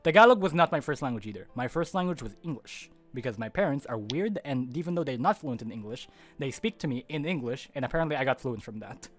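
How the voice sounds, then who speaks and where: A man's voice: medium pitch, animated, quick pace, hardly any pauses, faint music behind. A teenage boy from the Philippines, co-hosting a podcast in casual chat, rambling and restarting often, swearing casually. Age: teens. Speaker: podcast co-host.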